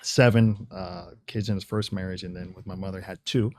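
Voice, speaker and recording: A man's voice: deep voice, steady tone, fairly quick, a little mellow. A man in his forties from Tampa, Florida, answering as an interview guest in an informal, reflective, conversational way, often repeating phrases. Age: 40s. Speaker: interview guest, logistics branch manager.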